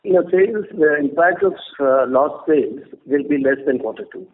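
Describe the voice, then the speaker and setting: A man giving measured, brief business answers as a company executive. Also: on the high side. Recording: narrow phone line, faint noise.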